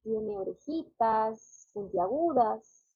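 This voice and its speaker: A woman's voice: a little high, expressive, slow, dark tone, husky, highs cut off. A woman in her thirties chatting casually as a co-host, often seeking agreement with tag questions. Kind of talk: casual chat.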